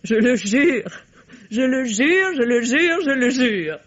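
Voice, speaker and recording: A woman's voice: on the high side, expressive, slow pace. An actress playing a defiant young murder suspect in 1950s radio mystery style, exasperated and flippant in her protests. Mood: mocking, defiant. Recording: dull vintage radio drama recording.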